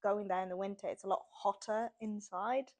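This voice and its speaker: A woman's voice: mid-range voice, fairly even intonation, normal speed, darkish tone. A young woman from Sweden, chatting casually as an author guest in an interview and using lots of "like" fillers.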